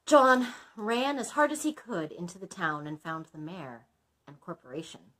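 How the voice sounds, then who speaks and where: A woman's voice: on the low side, very animated, moderate pace, fairly bright tone. A woman reading a children's story aloud as a librarian, expressively, with small asides to listeners.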